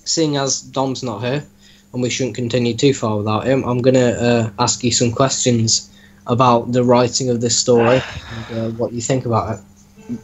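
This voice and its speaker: Deadpan man joking over background noise. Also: mid-range voice, moderate pace, poor audio. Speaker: host interviewing a story's author. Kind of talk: casual joking chat with reading aloud.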